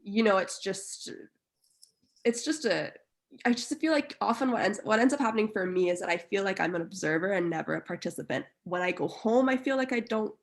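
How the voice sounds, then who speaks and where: A woman's voice: mid-range voice, expressive, a little fast, vocal fry. A young woman from Barbados, a writer on a panel, speaking thoughtfully with hedges and restarts over a video call from a room at home. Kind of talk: casual panel conversation.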